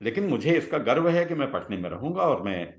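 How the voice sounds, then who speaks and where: A man, telling personal stories reflectively in conversation, with halting pauses between phrases. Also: mid-range voice, big pitch swings. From Bihar, India. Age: 60s. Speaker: guest, retired scientist. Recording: roomy, echoing sound.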